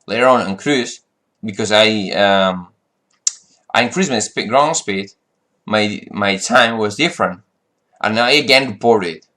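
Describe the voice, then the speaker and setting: A man's voice: on the low side, unhurried, long pauses, pushed and bright. Frustrated man. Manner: frustrated, rambling with restarts and fillers. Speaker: flight sim vlogger. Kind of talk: casual monologue.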